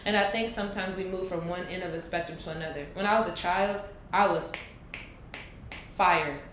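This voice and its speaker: A woman in her late twenties speaks in a lowish voice, pausing to weigh her words and then pressing a point with force. The room around her is echoey. A faint hiss sits under the recording. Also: fairly even intonation, fairly bright tone. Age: 20s. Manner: thoughtful, slow and deliberate with emphatic bursts. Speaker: podcast guest. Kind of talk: reflective casual conversation.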